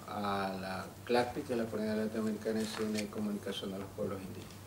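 Male panelist, formal short introduction, level low delivery, distant and noisy auditorium sound. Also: little pitch movement, fast talker. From Venezuela or Colombia. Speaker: panel speaker. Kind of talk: brief formal self-introduction. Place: cinema auditorium stage. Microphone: handheld microphone. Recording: noisy echoey hall, distant microphone.

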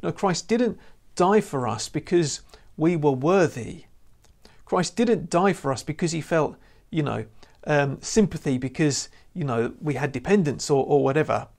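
A man's voice: fairly high pitch, expressive, normal speed, gravelly, a little mellow. A man preaching a recorded sermon to camera in an earnest, conversational way, often saying "you know.". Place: indoor room with lamp and church banner. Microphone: lapel mic.

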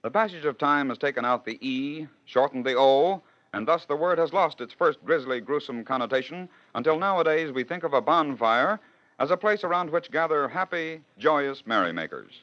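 A man's voice: fairly high pitch, lively intonation, normal speed. A man hosting and narrating in scripted 1940s radio style, with a rhetorical storytelling manner. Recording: dull old radio broadcast.

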